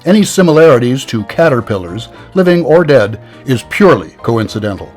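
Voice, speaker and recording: A man's voice: mid-range voice, very animated, fairly quick, soft background music. A man announcing an old-time radio show with mock-formal sponsor patter and scripted credits.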